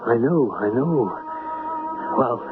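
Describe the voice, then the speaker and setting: An actor playing an anxious, streetwise small-time hustler in a 1970s radio drama, with a nervous, dramatic way of talking. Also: male voice, mid-range voice, animated, slow pace, over music. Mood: uneasy recounting. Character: anxious small-time male hustler recounting his uneasy fortune. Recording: tinny vintage radio broadcast.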